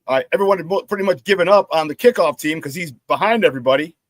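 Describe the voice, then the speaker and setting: A hoarse middle-aged man hosting a sports talk show, telling animated stories, repeating his phrases and laughing at his own stories. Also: a little high, racing, dry recording. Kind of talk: casual sports talk monologue.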